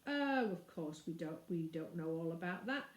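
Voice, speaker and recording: A woman voicing a gruff, bullying man who deals in horses, with rustic, taunting speech. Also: lowish voice, expressive, normal speed, echoey room, close to an everyday voice. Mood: sarcastic. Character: gruff, sneering, bullying male horse dealer.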